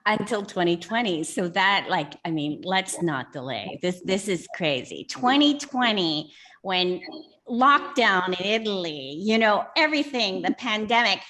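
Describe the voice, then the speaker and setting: A woman's voice: medium pitch, lively intonation, measured pace, fairly bright tone. A woman hosting a video interview, enthusiastic and chatty.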